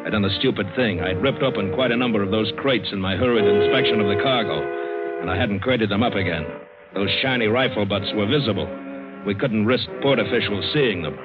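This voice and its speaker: A cynical, hard-boiled adventurer played by a man in a vintage radio thriller. His voice is fairly low, terse and bitter, on a dull old broadcast with music behind it. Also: a little fast, close to an everyday voice. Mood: bitter, calculating. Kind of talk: 1940s-50s radio drama narration and acting.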